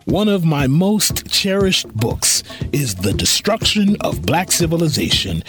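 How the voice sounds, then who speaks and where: A man with an American accent narrates from a script in a high voice that swings widely in pitch. His delivery is earnest, formal and reverent. Music plays clearly behind him. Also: very animated, a little slow. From New Jersey, United States. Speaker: host, narrator.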